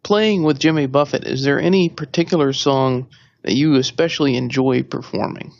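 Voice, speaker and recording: A man hosting an interview, asking questions in a halting way and often rephrasing them. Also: mid-range voice, vocal fry, no room sound, highs cut off. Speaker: interviewer, host. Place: hotel room.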